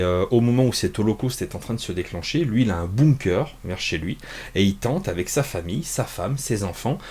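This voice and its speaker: Man, low voice, casual self-mocking chat, lots of fillers, small home office. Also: darkish tone, breathy edge. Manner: chatty, self-mocking, many fillers and restarts. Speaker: comics reviewer. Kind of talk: casual unscripted video review.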